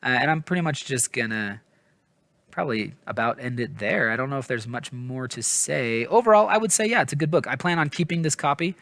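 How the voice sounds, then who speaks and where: A man's voice: mid-range voice, brisk, vocal fry, a bit bright, dry recording. A man giving a casual, rambling book review in an informal, hesitant, self-deprecating way, often stumbling over his words. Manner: informal, hedging, apologetic.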